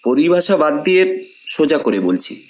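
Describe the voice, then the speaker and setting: স্থির, বোঝানোর সুরে প্রেতচক্রের আয়োজক. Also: male voice, on the high side, big pitch swings, moderate pace, soft background music, poor audio. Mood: calm explaining. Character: composed, persuasive male séance organiser. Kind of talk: audio horror drama acting.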